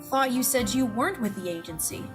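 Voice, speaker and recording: A woman acts a jaded hotel part-owner in an audio drama. Her voice is fairly high, with a casual, wry, plainspoken delivery. Light music runs behind it. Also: expressive, brisk, close to an everyday voice. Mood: amused, casual. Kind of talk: scripted audio drama acting.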